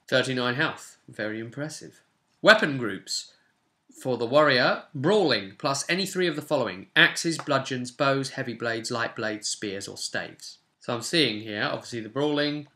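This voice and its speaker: A man's voice: medium pitch, pushed and bright. A man in his twenties casually talking through tabletop game rules and reading parts aloud, recorded at a desk in a small room at home. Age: 20s. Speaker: tabletop roleplay channel host.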